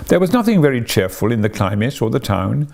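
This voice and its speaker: Male audiobook narrator for literary fiction: dramatic, deliberate delivery, creaky mid-pitched voice. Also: very animated, a little mellow.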